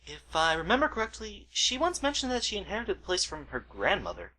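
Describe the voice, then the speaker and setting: A woman's voice: mid-range voice, wildly swooping pitch, brisk, bright, forceful tone, exaggerated voice. A woman playing a matter-of-fact investigator's companion in an amateur radio play. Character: matter-of-fact detective's companion with sharp memory.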